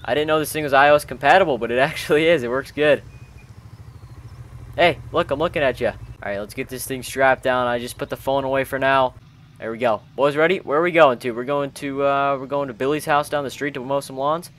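A man's voice: mid-range voice, fairly quick, fairly bright tone. A man hosting a gaming video, chatting in informal slang as he roleplays and comments on the game with friends. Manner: chatty, informal, peppered with bro-style slang.

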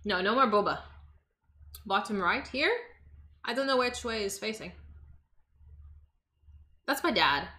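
A woman's voice: mid-range voice, expressive, a little slow, pauses often, sharp tone. A young woman from Los Angeles chatting casually with her stream while cooking in a home kitchen, answering chat as she chops. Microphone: desk microphone on the counter.

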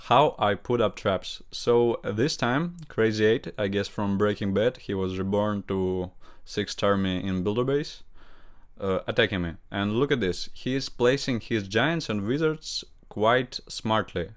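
Russian-accented young man narrating gameplay. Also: fairly low pitch. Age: young adult. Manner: halting non-native English with grammar slips. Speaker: gaming channel host. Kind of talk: voice-over gameplay commentary.

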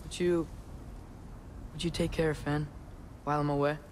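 Guy playing nervous earnest teen boy from a norse fantasy game, kinda high voice, halting. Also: flat delivery, a little hiss or hum, lightly acted. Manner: hesitant, halting. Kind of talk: video game voice acting.

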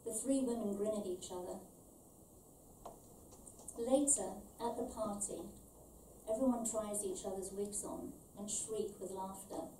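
A middle-aged woman from London, born in Ireland, reading her own short story aloud in a measured, deliberate way. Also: medium pitch, steady tone, warm tone. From born in Ireland, raised in London. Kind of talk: scripted literary reading aloud. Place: small event venue with stage, indoors. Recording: roomy, distant, faint background noise.

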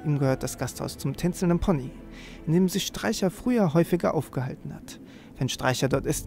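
Creaky, higher-pitched man hosting a let's play. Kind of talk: casual game commentary and reading quest text aloud. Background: game music.

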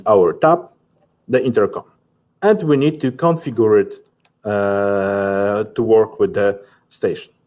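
A man in his thirties with a Polish accent, giving a halting, methodical software tutorial as a technical specialist. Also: lowish voice. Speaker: technical specialist presenting a webinar.

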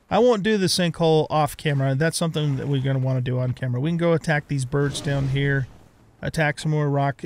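A man's voice: fairly high pitch, a little hiss or hum. A middle-aged man giving casual let's play commentary, chatting and thinking aloud as he goes. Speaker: gaming let's play host.

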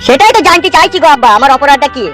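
A bewildered, pleading young boyfriend from a comedy cartoon, acted by a man. The voice is very high and rushed, with exaggerated plaintiveness and clear music behind. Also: expressive, fairly bright tone. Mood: pleading, confused. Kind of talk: melodramatic comedy cartoon dubbing. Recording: music under the voice.

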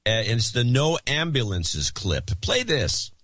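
A man's voice: mid-range voice, very animated, measured pace, fairly bright tone, no echo. A man co-hosting a podcast, chatting in a wry, teasing, rambling way. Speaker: podcast co-host. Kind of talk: casual chat.

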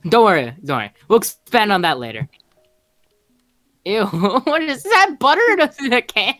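A woman's voice: medium pitch, big pitch swings, moderate pace, fairly bright tone, dry recording, soft background music. A woman improvising game roleplay chat in a wry manner.

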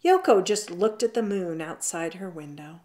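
A woman reading a children's picture book aloud at home, in an expressive, drawn-out storybook manner. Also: on the low side. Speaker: storytime reader. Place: indoors at home, seated on a leather couch.

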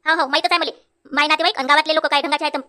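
A woman voicing a sharp-tongued, bossy village wife in a comedy cartoon, scolding in rural Marathi of Vidarbha. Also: high voice, fairly even intonation, very fast. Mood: irritated, scolding. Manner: scolding, nagging.

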